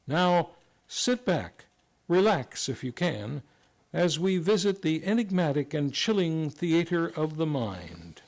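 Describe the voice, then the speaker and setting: A man's voice: on the high side, big pitch swings, slow, gravelly, a little mellow. A man hosting a radio show with formal, theatrical, scripted old-time announcing.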